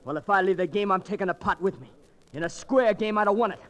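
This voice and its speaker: An actor playing a defiant, combative young cowboy with folksy, drawling speech in an old Western radio drama. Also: male voice, high voice, fast talker, a bit bright. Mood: angry, defiant.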